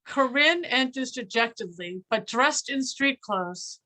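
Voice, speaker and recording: Bright, higher-pitched woman narrator. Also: lively intonation, slow, poor audio. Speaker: stage directions reader. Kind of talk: scripted narration for readers theater.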